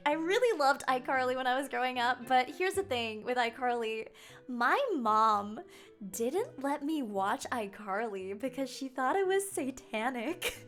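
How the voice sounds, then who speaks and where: A young woman streamer talks in a high, bright-pitched voice at an easy, unhurried pace. She is chatty and rambling, breaking into quick laughs as she answers chat on a casual live stream. Soft music plays faintly behind her.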